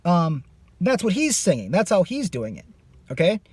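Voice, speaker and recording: A man's voice: high voice, huge pitch swings, moderate pace, a little mellow, husky, no echo. A man teaching a singing lesson. He is losing his voice and stops his explanation to demonstrate screams. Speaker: vocal coach.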